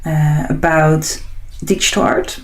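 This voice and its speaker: Low-voiced Dutch woman musing while sketching. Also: steady tone, takes it slowly. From Netherlands. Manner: musing, meandering, frequent ums and restarts. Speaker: artist and host. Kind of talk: casual chat while sketching.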